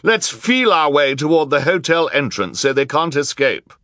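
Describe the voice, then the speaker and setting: A man voicing outraged members of a storybook mob, shrieking and yelling for an audiobook. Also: medium pitch, big pitch swings, normal speed, no echo, studio quality, voice pushed up. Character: shrill, outraged crowd members calling for capture. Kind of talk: audiobook character acting.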